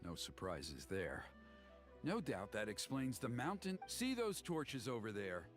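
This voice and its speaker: Expressive young warrior guide. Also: male voice, medium pitch, a little slow. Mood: earnest, explaining. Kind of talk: video game voice acting, explanatory dialogue. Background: game music.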